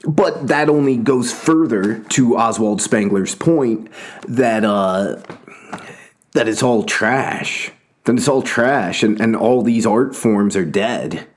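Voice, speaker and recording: A man's voice: mid-range voice, takes it slowly. A man hosting a casual commentary monologue, rambling and self-interrupting, often breaking into laughter. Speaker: host.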